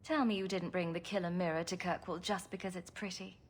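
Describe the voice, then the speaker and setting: A woman's voice: fairly low pitch, fairly even intonation, brisk, slightly rough. A woman acting a dry, probing adult heroine in a video game, direct and questioning. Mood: wry. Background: music.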